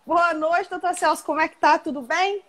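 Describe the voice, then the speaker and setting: A woman's voice: extremely high pitch, fairly even intonation, moderate pace, fairly bright tone. A woman from Rio de Janeiro state with a Minas Gerais accent, a professor hosting a live video-call interview, chatty and affectionate. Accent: Minas Gerais Portuguese. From Rio de Janeiro state, Brazil. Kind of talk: warm casual live-stream interview.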